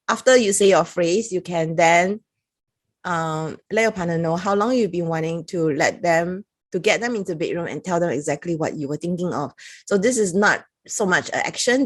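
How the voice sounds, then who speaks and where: Singaporean-accented woman, lower register, relaxed talky reviewer. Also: moderate pace. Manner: chatty, reads passages aloud with little laughs. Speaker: product reviewer. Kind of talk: casual talk-to-camera review.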